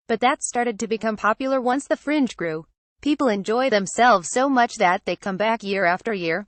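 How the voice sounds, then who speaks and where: A woman's voice: mid-range voice, no echo. Woman reading an article aloud, dry recording. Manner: reads captions, credits and quotes straight through. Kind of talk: scripted narration, article read aloud.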